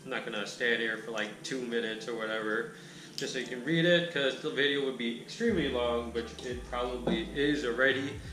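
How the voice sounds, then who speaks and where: A man reviewing a beer in a relaxed, rambling way with a raspy voice. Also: mid-range voice, fairly quick, echoey room, rough recording. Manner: laid-back, rambling, lots of filler words. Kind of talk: casual chat.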